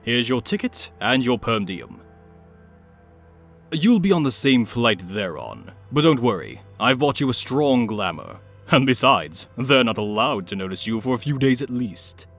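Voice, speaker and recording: A man's voice: medium pitch, very animated, a little slow, fairly bright tone, heavily acted. Man playing a scheming, menacing villain. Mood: cold, menacing. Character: cold, scheming middle-aged male villain, menacing and commanding. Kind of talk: dramatic comic dub acting. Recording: music behind the voice.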